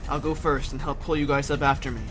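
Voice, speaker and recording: A woman's voice: extremely low pitch, little pitch movement, fast talker, music in the background. A woman playing a brash, impulsive but protective teenage boy survivor in an audio drama, blunt in manner. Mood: determined.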